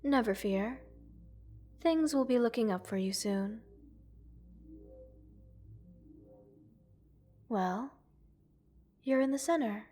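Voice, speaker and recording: A gentle, curious alien researcher, acted by a woman in an ASMR roleplay, with a fairly high voice. She speaks very slowly, in formal and precise wording, softly reassuring the one she studies. Music plays underneath. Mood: apologetic, gentle. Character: gentle, curious multi-world alien scientist studying a captive human. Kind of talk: ASMR roleplay, addressing the listener.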